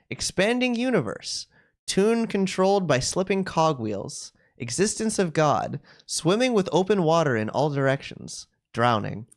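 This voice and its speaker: A game streamer giving casual, halting live commentary and reading game text aloud expressively. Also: mid-range voice. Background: music.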